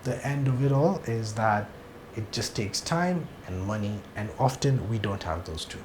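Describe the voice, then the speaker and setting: A man's voice: on the low side, animated, moderate pace, noisy background. Blunt, frank man in an echoey room. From Kenya. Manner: frank, emphatic, blunt opinions. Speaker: TV series director. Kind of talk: sit-down interview.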